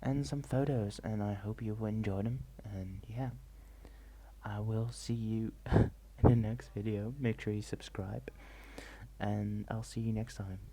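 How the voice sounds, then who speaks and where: Soft-spoken man with a gravelly voice. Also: on the low side, fairly even intonation, unhurried. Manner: rambling, hesitant, mixes soft talk with whispers. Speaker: ASMR vlogger. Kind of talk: soft-spoken travel vlog narration. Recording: faint ambient noise.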